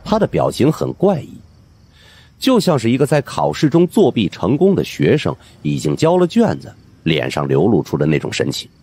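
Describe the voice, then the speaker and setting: Husky, highly animated male storyteller. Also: moderate pace, no room sound. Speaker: audiobook narrator. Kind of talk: dramatic scripted narration of a supernatural mystery.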